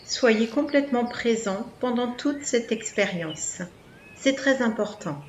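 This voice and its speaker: A woman's voice: on the high side, expressive, highs cut off. Woman guiding relaxation in an echoey room. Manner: soothing, measured instructions with pauses. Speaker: yoga nidra instructor. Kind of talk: guided relaxation meditation. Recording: echoey room, background noise, birdsong.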